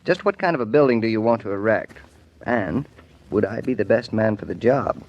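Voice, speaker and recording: An actor playing a patient, modest young husband who is an architect, hesitant and stammering at times, in a 1940s radio play. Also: male voice, lowish voice, lively intonation, a little fast, slight background noise, close to an everyday voice. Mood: earnest. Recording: muffled vintage radio recording.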